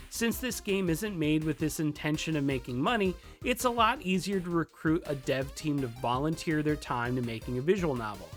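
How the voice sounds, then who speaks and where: Animated explainer narrator over music. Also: mid-range voice. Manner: conversational, lightly playful asides. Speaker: video tutorial presenter.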